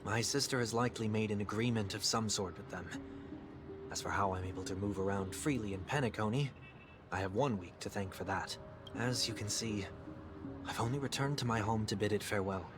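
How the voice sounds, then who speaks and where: An actor playing a courteous, guarded young man in a video game, speaking formally and in measured phrases over music. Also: lowish voice, lightly acted. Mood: pleading, polite. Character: soft-spoken, courteous, guarded young male former captive bidding his home farewell.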